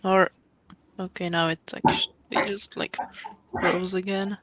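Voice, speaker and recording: A man chatting casually on a podcast call in a tentative, low-key way. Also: little pitch movement, measured pace, sharp tone, dry recording. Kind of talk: casual chat.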